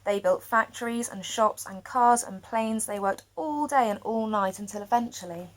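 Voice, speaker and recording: A woman storyteller reading a children's picture book aloud expressively, with dramatic pauses. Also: mid-range voice, rough recording.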